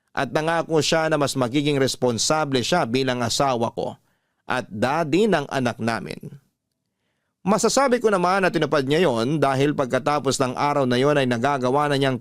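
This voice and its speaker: A man hosting a radio love-story program, reading a listener's letter aloud in a slow, measured, heartfelt way. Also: on the high side, clear, resonant tone, dry recording, studio quality. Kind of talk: emotional radio storytelling narration.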